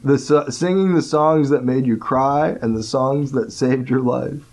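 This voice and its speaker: A man's voice: mid-range voice, unhurried, darkish tone. A man co-hosting a casual comedy podcast, riffing on absurd bits and laughing often. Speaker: podcast co-host.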